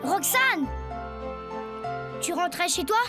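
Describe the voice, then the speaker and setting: A woman's voice: very high voice, big pitch swings, slow pace, full character voice. A woman voicing an earnest, kind school child in a French cartoon, with an accent from France. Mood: warm. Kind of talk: French animated series dialogue. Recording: music under the voice.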